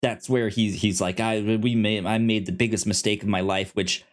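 A man co-hosting a podcast in casual review chat, halting and often stammering over his words as he explains. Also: lowish voice, steady tone. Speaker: podcast co-host.

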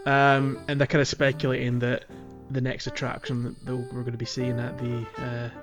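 A man co-hosts a casual gaming podcast at a middling pitch. He speaks in a loose, hesitant ramble. Music sits in the recording with his voice. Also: completely flat delivery, normal speed. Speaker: podcast co-host.

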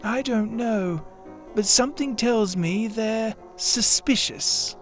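A performer voicing an earnest, self-important toy bear in a children's readers theatre story, speaking deliberately and solemnly with a gently anxious air. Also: medium pitch, soft background music. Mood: hushed, scheming.

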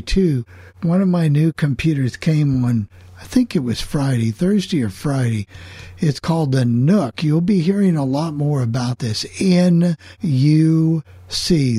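Slow-talking man hosting a casual show. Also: on the high side, mellow, breathy edge, dry recording, studio quality. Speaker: host. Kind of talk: casual opening monologue of a call-in club show.

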